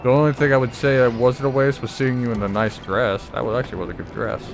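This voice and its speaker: A woman's voice: a bass voice, fairly even intonation, fast talker. A woman streaming a video game with off-the-cuff, blunt, sardonic commentary and some swearing. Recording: game music playing behind.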